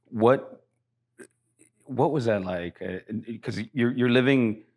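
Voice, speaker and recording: A man's voice: mid-range voice, slow. A man hosting a podcast interview, asking curious questions and often repeating words as he starts them. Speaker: podcast host. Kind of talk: conversational interview.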